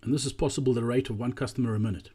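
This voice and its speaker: A man narrating a walkthrough presentation in a measured, explanatory way. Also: mid-range voice, steady tone, dark tone, breathy edge.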